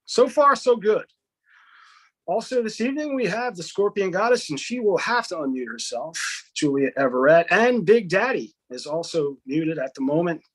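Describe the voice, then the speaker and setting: A man's voice: high-pitched, animated, normal speed, fairly bright tone, rough recording. A man hosting a podcast, joking, swearing and rambling in a loose, casual way. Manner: profane, rambling, jokey. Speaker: podcast host.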